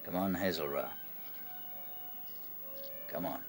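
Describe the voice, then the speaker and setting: A man playing a calm, gentle otherworldly rabbit lord in a radio drama, speaking in a soothing, reassuring, gravelly voice. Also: takes it slowly. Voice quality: deep, gravelly. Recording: music behind the voice.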